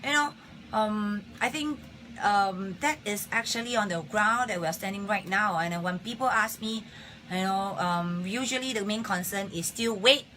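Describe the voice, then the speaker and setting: A woman with a husky voice hosting a casual live-streamed health talk, chatting and greeting viewers by name between points. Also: mid-range voice, normal speed, sharp tone, slight background noise, low-quality recording. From Singapore. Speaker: health talk show host. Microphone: phone or webcam on a live stream.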